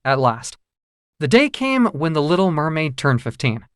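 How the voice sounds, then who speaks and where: Animated fairy-tale storyteller, dry close sound. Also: medium pitch, moderate pace, smooth, no room sound. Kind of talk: scripted narration of a children's fairy tale.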